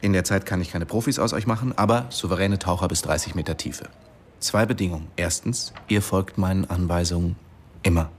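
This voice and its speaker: An actor playing a strict, matter-of-fact diving instructor of about forty in a naturalistic radio drama. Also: male voice, sits low, fairly quick, a little hiss or hum. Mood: firm, matter-of-fact. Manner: instructive, firm.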